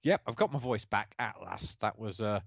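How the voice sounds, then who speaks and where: A man co-hosting a rally podcast, rambling and hesitant with many fillers, but animated. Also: fairly low pitch, normal speed, vocal fry, no room sound, lo-fi sound. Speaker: podcast co-host. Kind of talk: casual podcast chat.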